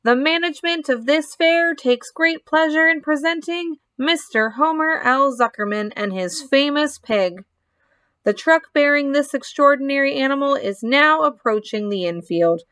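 A pompous fairground loudspeaker announcer played by a woman reading a storybook, pitched high and booming. The delivery is grandly formal and declamatory, with every phrase proclaimed ceremoniously. The sound is close and dry. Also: expressive, unhurried, no echo.

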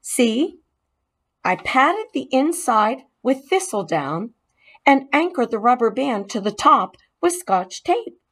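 An eager young boy, played by a woman reading the story, talks in a raised, childlike pitch. He speaks in a slow, careful way. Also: sing-song, a bit bright, full character voice. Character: young boy, trusting then hurt.

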